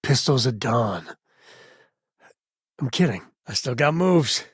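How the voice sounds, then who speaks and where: A middle-aged space cowboy from a video game, husky and a little raspy. He talks in a folksy, wry, conversational way with a good heart underneath. The voice sits at a medium pitch and is recorded very dry and close. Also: expressive, slow pace, no room sound, exaggerated voice. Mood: wry, teasing. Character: wry, warm-hearted spacefaring cowboy, a former ranger and father. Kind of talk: naturalistic video game character dialogue.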